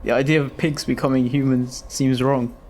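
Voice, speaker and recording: A man's voice: medium pitch, little pitch movement, normal speed, darkish tone, close to an everyday voice. A man playing a wary, ailing patient with a cough who questions his options in an amateur audio drama. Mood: doubtful, wary. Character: dying man anxious to prolong his life, wary and questioning.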